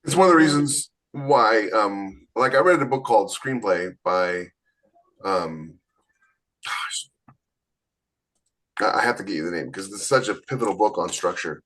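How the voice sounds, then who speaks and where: An emphatic man with a low-pitched voice, co-hosting a relaxed podcast chat about writing. He lays out his points firmly, like someone giving advice. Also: measured pace, pauses often. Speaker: podcast co-host. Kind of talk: casual panel chat.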